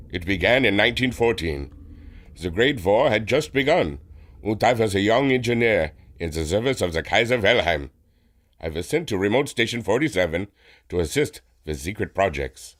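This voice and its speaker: An actor playing an earnest, proud inventor with a formal, theatrical manner in a pulp audio drama. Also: male voice, lowish voice, lively intonation, moderate pace, a bit bright. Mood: solemn, earnest.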